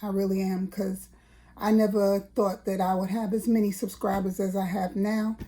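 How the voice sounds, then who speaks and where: Slow, raspy-voiced woman vlogger. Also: dark tone, poor audio. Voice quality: raspy, deeper than usual. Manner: hesitant, many fillers and restarts; earnest, repeats herself for emphasis. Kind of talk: casual personal vlog talk.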